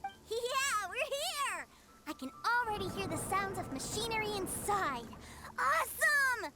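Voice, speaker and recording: A woman voicing an eager, stubborn, innocent little brother in a video game, with a childlike, excitable delivery. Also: extremely high pitch, measured pace, full character voice.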